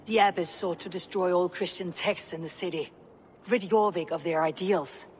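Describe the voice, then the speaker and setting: A woman plays a young Viking warrior from a video game. She speaks gravely and bluntly, with a deliberate, stern manner over faint background noise. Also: lowish voice, steady tone, lightly acted. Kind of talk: video game cutscene acting.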